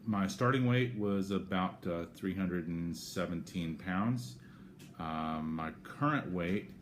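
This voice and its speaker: An American man talking casually and unscripted to camera in a rambling, halting way, often correcting himself as he gives a personal update. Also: deep voice. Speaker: vlogger giving a personal health update. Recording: clear background noise, room ambience.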